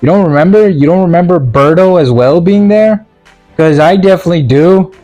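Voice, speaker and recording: Halting, sarcastic man over music. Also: high voice, warm tone. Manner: halting, stammering delivery with repeated words; sarcastic, mock-earnest. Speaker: video reviewer. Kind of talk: casual, rambling movie review commentary.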